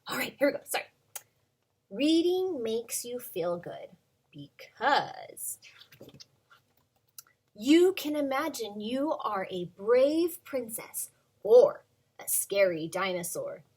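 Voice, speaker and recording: A woman in her thirties, a preschool teacher, reading a picture book aloud to children in a gentle, sing-song way with many ums. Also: fairly high pitch, very animated, takes it slowly, pauses often, slightly rough.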